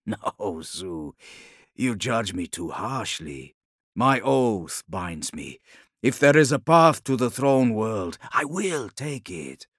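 A male narrator as a grave warlord khan from an audiobook, proud and brooding. His voice is gravelly and dry, with slow, deliberate phrases that rise and fall expressively. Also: on the low side, big pitch swings, no room sound, exaggerated voice. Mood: grave, measured. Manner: measured, deliberate, formal phrasing.

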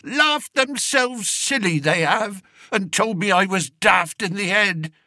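A man's voice: sits high, animated, slow, pushed and bright, no echo, studio quality, voice pushed up, full character voice. A man voicing a frightened, tearful old male shepherd who speaks in a rustic dialect and wheezes. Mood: pleading, tearful.

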